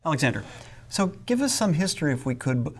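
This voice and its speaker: A man's voice: fairly high pitch, big pitch swings, quick pace. A man conducting an interview, asking short, curious follow-up questions.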